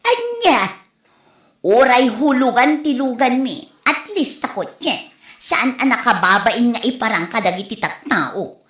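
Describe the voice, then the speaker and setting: A woman's voice: a little high, sing-song, unhurried, a bit bright, reverberant. A woman with an Ilocano accent playing a boastful, easily offended village woman in a comedy audio drama, exclamatory and teasing. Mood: indignant, boastful.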